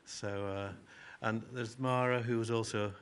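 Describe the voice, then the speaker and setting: A man's voice: fairly low pitch, steady tone, unhurried, smooth. A man hosting an event and introducing student speakers in a rambling way with many fillers, in a small gallery room. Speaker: event host.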